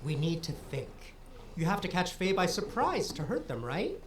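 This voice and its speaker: An actress playing a blunt, quick-thinking, practical ally in an audio drama. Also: female voice, lowish voice, very animated, slight background noise. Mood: urgent.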